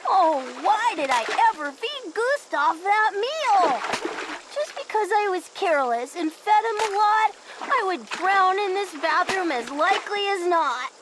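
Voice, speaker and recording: A woman reading a children's picture book aloud in an expressive, theatrical storytelling manner, over background noise. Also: extremely high pitch, a little slow. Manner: theatrical, dramatic delivery for children.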